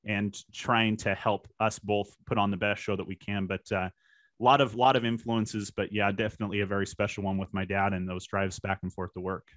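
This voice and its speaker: A man's voice: on the low side, fairly bright tone, dry recording. A middle-aged American man talking casually and reflectively as an interview guest, often dropping in "you know". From US, born in California and raised in Washington state. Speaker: podcast guest, sports PA announcer.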